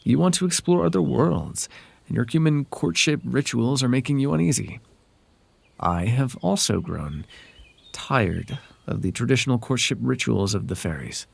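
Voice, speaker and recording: A man voicing a possessive fae royal in an ASMR roleplay, courtly and coaxing with soft menace under a silky, creaky voice. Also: medium pitch, lively intonation, normal speed, exaggerated voice. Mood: playful, possessive. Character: possessive, silky male fae royal wooing a captive lover. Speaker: voice actor.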